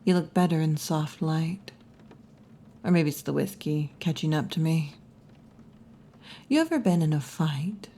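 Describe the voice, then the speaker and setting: A woman voicing a brash yet kindly tomboy wolf girl bartender in an intimate, unhurried ASMR roleplay, often dropping toward a whisper. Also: low-pitched, expressive, mellow, slight background noise. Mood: soft, confiding. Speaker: bartender character in audio roleplay.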